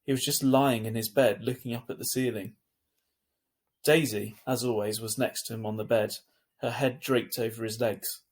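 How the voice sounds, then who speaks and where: Male storyteller, mid-pitched with a husky edge, narrating a children's novel. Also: fairly even intonation, normal speed. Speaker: teacher reading a story aloud.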